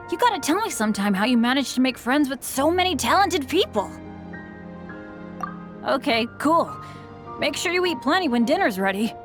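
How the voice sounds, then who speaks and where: A woman plays an earnest teenage boy adventurer from a video game. The boyish voice is fairly high and self-deprecating, over soft background music. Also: close to an everyday voice.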